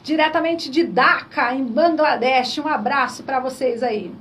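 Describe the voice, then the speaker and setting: A woman's voice: high voice, a little slow, fairly bright tone, far from the mic, a little hiss or hum. A Brazilian woman hosting a radio talk show, greeting listeners and asking the doctor questions. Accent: Brazilian Portuguese. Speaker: radio host.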